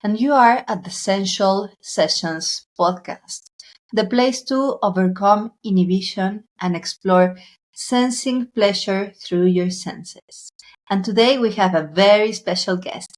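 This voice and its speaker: Woman podcast host, talks slow and soft, measured, mid pitch. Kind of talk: interview.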